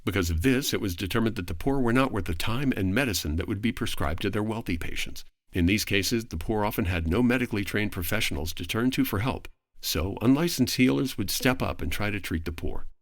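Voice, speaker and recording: A man speaking as a podcast guest, giving a prepared, articulate explanation in formal, measured phrasing. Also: lowish voice, lively intonation, dry recording, clean studio sound.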